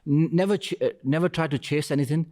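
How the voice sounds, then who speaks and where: A middle-aged man being interviewed on a podcast as a restaurant co-founder. He speaks earnestly and haltingly and says "you know" often. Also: a little high, a little mellow.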